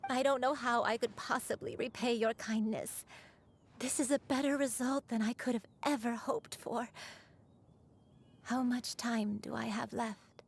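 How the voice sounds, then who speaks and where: A woman's voice: a little high, steady tone, measured pace, vocal fry, husky, heavily acted. A woman voicing a loving old grandmother, a crane spirit in human form, in a tender, soothing game performance. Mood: grateful, resigned. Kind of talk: video game voice acting.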